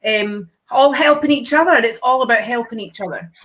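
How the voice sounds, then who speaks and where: A woman's voice: fairly high pitch, a little fast, fairly bright tone, low-quality recording. A woman co-hosting a psychic live stream, bubbly and teasing, often asking "right?" to get agreement. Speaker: psychic medium co-host. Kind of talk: live-stream casual chat.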